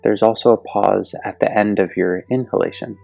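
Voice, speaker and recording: Male meditation guide: gentle, deliberate delivery with a creaky low edge, recorded dry. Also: steady tone, dry recording. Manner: soothing, gentle, deliberate with long pauses. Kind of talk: guided yoga nidra meditation. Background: soft meditation music.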